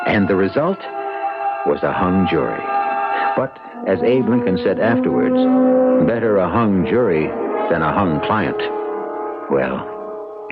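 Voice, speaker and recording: A man hosting a mystery radio show, narrating in a theatrical, measured, scripted style. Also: low voice. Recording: dull old radio recording. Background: music.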